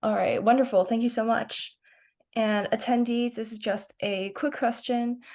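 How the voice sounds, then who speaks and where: A woman's voice: on the high side, fairly even intonation, normal speed, darkish tone. A young woman hosting a student-run science webinar on a video call, introducing the organization and reading out questions. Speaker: webinar host.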